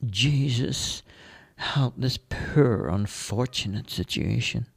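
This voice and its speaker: A man's voice: mid-range voice, takes it slowly, vocal fry, breathy edge, full character voice. A man playing a weary, pious old-school priest. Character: weary kindly male priest. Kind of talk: amateur play read aloud.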